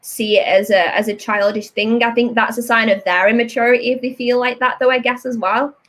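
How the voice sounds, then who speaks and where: Chatty British woman, higher voice. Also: a little fast. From Britain. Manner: lively, chatty, frank. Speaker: host, comic artist. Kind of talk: casual chat while inking.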